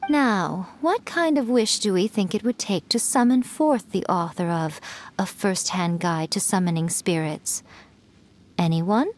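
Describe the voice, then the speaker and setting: A woman voicing a sly, playful female fox priestess who teases in a smooth, poised manner. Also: medium pitch, a little slow, darkish tone, a little hiss or hum, close to an everyday voice.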